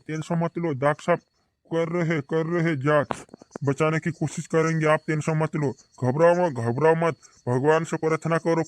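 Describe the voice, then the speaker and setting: A man's voice: on the high side, close to an everyday voice. A man playing an officious but soothing hospital guard in an amateur cartoon comedy, explaining things repetitively.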